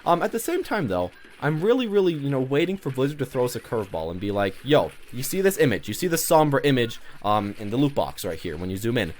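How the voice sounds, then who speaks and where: A man's voice: mid-range voice, very animated, quick pace, hardly any pauses, darkish tone. A man giving casual, unscripted gaming commentary as a video host, rambling and often repeating himself. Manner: rambling, repeats himself, peppers talk with "you know".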